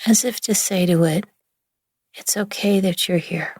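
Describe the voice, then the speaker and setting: A woman leading a guided relaxation meditation in a gentle, soothing, hushed manner with long pauses between phrases. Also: mid-range voice, dry recording, studio quality. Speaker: yoga nidra meditation guide.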